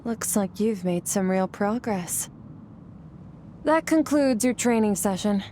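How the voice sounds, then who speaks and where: A woman voicing a stern, composed female swordmaster who coaches her student in a calm, measured, instructive way. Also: medium pitch, background noise. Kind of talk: video game voice acting.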